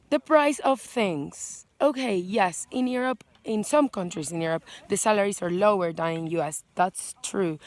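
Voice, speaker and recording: Female vlog host, native Spanish speaker with Venezuelan accent, mid-pitched voice, informal and expressive delivery to camera. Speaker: vlogger and channel host. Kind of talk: casual chatty list vlog to camera.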